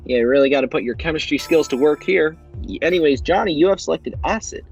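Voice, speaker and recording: A man's voice: a little high, expressive, quick pace, vocal fry, faint music behind. A young man hosting a fan-made quiz show, reading out questions and answer choices in an upbeat quizmaster manner.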